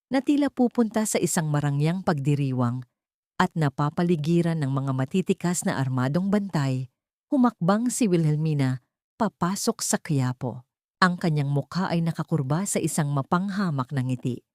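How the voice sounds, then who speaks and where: A woman's voice: low-pitched, animated, moderate pace, a little mellow, no room sound, clean studio sound. A woman narrating a scripted audiobook drama in a dramatic storytelling style.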